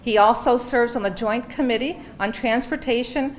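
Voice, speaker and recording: A woman's voice: on the high side, normal speed, a little hiss or hum. A woman introducing speakers at a graduation ceremony, reading out titles and stumbling now and then over names. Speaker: program presenter introducing speakers.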